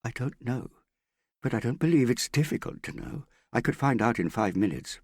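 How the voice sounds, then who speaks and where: A man's voice: medium pitch, expressive, normal speed, breathy edge, no room sound, clean studio sound, pitched above the performer's own voice. A man voicing an earnest, worried and stubborn young woman in an audiobook, serious and insistent in manner. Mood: firm. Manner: serious, plain-spoken, insistent. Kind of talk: audiobook character dialogue.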